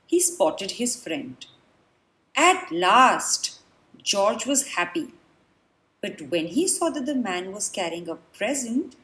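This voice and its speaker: A woman reading a children's storybook aloud, expressive and dramatic, with deliberate pauses. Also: mid-range voice, rough recording. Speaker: children's book reader. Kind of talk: read-aloud storybook narration.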